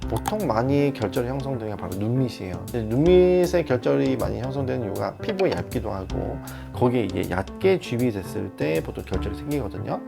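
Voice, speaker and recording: A skin doctor talks viewers through a cosmetic treatment at a quick clip, his voice carrying a gravelly rasp. He explains steadily and gives practical advice. Music plays clearly behind him. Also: medium pitch, expressive. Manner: explanatory, advising with examples. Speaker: dermatologist.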